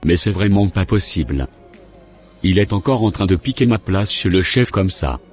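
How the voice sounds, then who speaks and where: A man's voice: low voice, lively intonation, moderate pace, faint music behind. A man playing a resentful, grumbling father and engineer in a cartoon, with a stiff scripted delivery. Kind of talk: animated cartoon dialogue.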